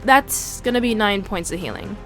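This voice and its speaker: A woman's voice: medium pitch, animated, moderate pace, music in the background. A woman playing a tabletop game, casually describing what her character does.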